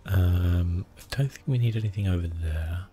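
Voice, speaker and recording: A man giving relaxed ASMR commentary on a game, understated and hesitant as he thinks aloud close to the microphone. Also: low voice, moderate pace, soft background music. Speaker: gaming video host.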